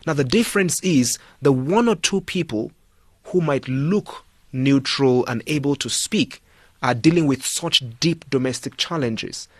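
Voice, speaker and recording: An emphatic man talks as a guest on a radio call-in show. He drives his points home with repetition and pointed rhetorical questions. His voice sits at a middle pitch, heard close and dry. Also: a little slow, dry recording. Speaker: studio guest, political commentator. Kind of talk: radio talk show interview.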